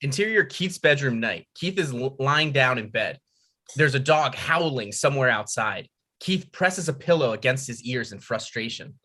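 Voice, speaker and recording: Bright-voiced man narrating a script. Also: mid-range voice, expressive, normal speed. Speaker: narrator reading stage directions. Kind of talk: scripted narration at a table read.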